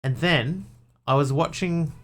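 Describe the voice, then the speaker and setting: A man's voice: on the high side, unhurried, faint music behind. Chatty man with a New Zealand accent. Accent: New Zealand with an Australian tinge. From Australia. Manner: chatty, rambling storyteller. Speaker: game streamer. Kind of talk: casual gaming chat.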